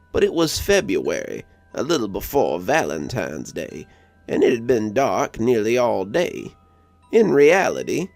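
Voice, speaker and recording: A man's voice: medium pitch, vocal fry, a little mellow, no echo. A man reading a scripted story as a narrator. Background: music under the voice.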